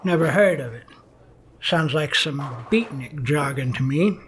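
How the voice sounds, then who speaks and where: An actor playing a world-weary, gruff 1950s police detective in a noir radio drama, speaking in a curt, sardonic, slangy way. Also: male voice, fairly high pitch, animated, measured pace, slight background noise. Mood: dry, nostalgic.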